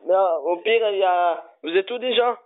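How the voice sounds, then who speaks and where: A man making a prank phone call, stubbornly pushing absurd demands in a halting way. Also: high-pitched. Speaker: prank caller. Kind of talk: phone prank, playing a pushy customer. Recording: tinny phone line.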